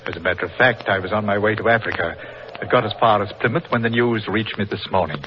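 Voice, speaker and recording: An actor playing a big, guarded, hot-tempered explorer with a stiff, formal manner, in 1940s radio drama. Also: male voice, fairly low pitch, fast talker, lightly acted. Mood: earnest. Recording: dull, noisy old radio recording.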